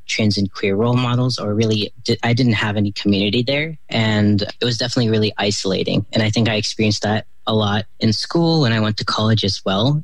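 A young organizer from New Jersey introduces themselves on a call-in show. They speak warmly but hesitantly, filling the gaps with "you know" and "uh." The sound is dry, with no room around it. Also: medium pitch, dry recording, rough recording. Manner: warm, hesitant, many fillers. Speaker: guest, community organizer.

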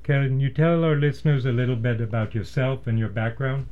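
A man presents a radio show in a formal, earnest manner. His voice sits at a medium pitch and he speaks in measured, prepared phrases. The studio sound is a little dull and lo-fi. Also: fairly even intonation. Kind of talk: measured scripted introduction and interviewing. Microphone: studio microphone.

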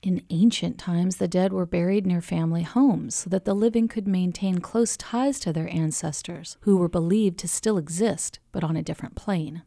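A woman's voice: fairly low pitch, normal speed, vocal fry, few pauses, mellow, dry recording, studio quality. A woman podcast host reading scripted explanatory narration.

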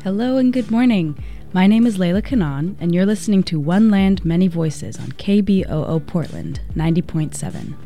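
A woman hosting a community radio show, reading a measured introduction and asking questions. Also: lowish voice, expressive, warm tone, faint music behind. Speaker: radio host.

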